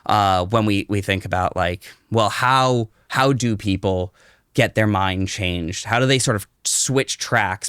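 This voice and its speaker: A man's voice: fairly low pitch, a bit bright, smooth, no room sound. A man speaking as a podcast guest in a conversational storytelling and explaining style, thoughtfully searching for his words, close on the microphone.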